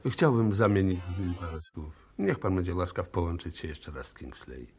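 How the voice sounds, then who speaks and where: An actor playing a composed, methodical police inspector in a radio drama, speaking formally and courteously with probing intent. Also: male voice, low-pitched, moderate pace, a little mellow, slight background noise. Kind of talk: 1960s Polish radio drama acting.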